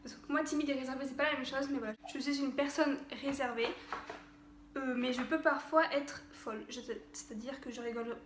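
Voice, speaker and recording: Giggly young woman vlogging at home, high voice, faint room noise behind. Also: steady tone, a little fast, reverberant. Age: young adult. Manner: chatty, giggly, hesitant with many fillers. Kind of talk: casual to-camera chat.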